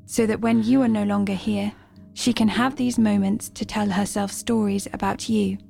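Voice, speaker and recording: An actress voicing a calm, clinical artificial guide in an audio drama, with a measured, formal manner. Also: female voice, mid-range voice. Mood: gently composed. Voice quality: creaky, soft-toned. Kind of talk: restrained audio drama acting. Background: music.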